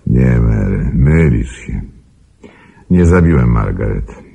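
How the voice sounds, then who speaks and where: An actor playing a cold, calculating killer in a radio play, justifying himself with menacing regret in a gravelly, husky voice. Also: male voice, extremely low pitch, lively intonation, very slow, dark tone, heavily acted. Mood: self-justifying.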